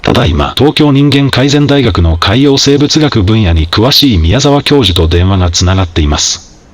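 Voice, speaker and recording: A man's voice: fairly low pitch, expressive, normal speed. A man playing a formal TV news anchor in a scripted broadcast drama. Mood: composed, formal.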